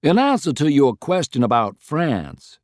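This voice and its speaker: Shrewd male statesman for audiobook, acted by a male narrator: eloquent, deliberate, persuasive, pitched up. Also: very animated, darkish tone, dry recording, studio quality. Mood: confident. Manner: deliberate, articulate, rhetorical.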